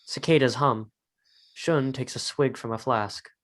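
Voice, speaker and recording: Mid-pitched man narrating a script. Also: measured pace, fairly bright tone. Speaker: narrator reading stage directions.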